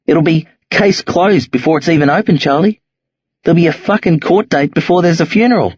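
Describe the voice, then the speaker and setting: A man voicing a tough, streetwise teenage boy who talks low and rough in slang on a dull, lo-fi recording. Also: a little fast, no room sound. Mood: urgent. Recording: dull lo-fi audio.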